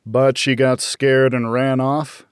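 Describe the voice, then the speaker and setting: A man voicing a terse, deadpan, evasive veteran in a noir audiobook. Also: medium pitch, slow pace, clean tone, no room sound, studio quality, close to an everyday voice. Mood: probing. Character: hardboiled, evasive male veteran, drug user, terse.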